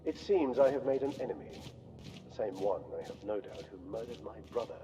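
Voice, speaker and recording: A man's voice: mid-range voice, fairly quick, warm tone, natural voice. An actor playing an embattled, imperious male merchant noble in a game, speaking formally. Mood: wary. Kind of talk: dramatic sci-fi game cutscene acting. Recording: clear music behind the voice.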